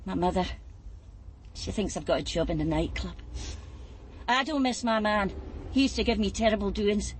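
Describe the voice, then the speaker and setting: A woman performs a blunt, shaken Glaswegian streetwalker for radio drama. She is chatty and colloquial, a tired mother with a middling pitch. Faint street ambience sits behind her. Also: moderate pace, slight background noise, natural voice. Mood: defensive, bitter. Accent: Glaswegian Scottish. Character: weary, shaken, plain-spoken Glasgow streetwalker and mother. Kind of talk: naturalistic radio drama acting.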